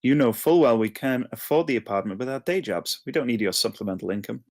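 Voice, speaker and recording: A wry young man voiced by an actor at a table read teasing his best friend. He speaks very quickly in a medium-pitched voice, easygoing and full of banter. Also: lively intonation, lightly acted. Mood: wry exasperation. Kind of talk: live screenplay table read.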